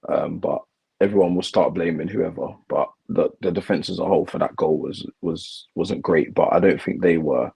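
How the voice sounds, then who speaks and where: Deep, gravelly-voiced man, hoarse. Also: a little mellow. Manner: laid-back, defensive of his team. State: slightly losing his voice. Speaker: co-host, football fan. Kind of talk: casual football chat.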